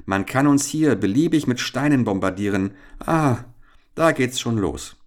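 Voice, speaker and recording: A man's voice: medium pitch, animated, measured pace, voice pushed up, lightly acted. A man reading aloud and voicing a cool-headed, sardonic teenage boy adventurer in a storybook tale. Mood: confident. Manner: mocking, confident, emphatic.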